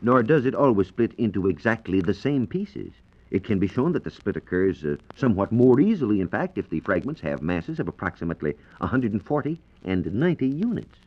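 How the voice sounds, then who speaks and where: A man with a lowish American voice explains science as a 1940s radio reporter. His delivery is precise and instructive, with lively rises and falls, on a muffled vintage broadcast recording. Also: fairly quick.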